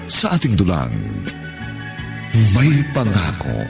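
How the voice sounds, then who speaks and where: A man's voice: sits low, wildly swooping pitch, slow pace, gravelly, over music. A man announcing a radio drama in a scripted, dramatic style.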